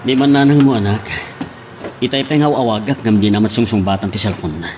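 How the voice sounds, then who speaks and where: A man's voice: on the low side, fairly quick, darkish tone, a little hiss or hum, natural voice. A man with an Ilocano Filipino accent playing a worried father in an audio drama. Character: worried father waiting on his absent wife. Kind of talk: Ilocano radio-style drama acting.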